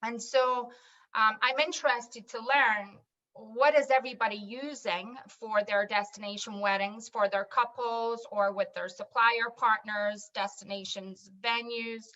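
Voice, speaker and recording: Scottish businesswoman, bright higher voice, fluent pitch delivery, lo-fi call audio. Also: fairly even intonation, measured pace. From Scotland. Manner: fluent, businesslike, listing items one by one. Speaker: wedding software business owner. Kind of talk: pitching in an online panel discussion.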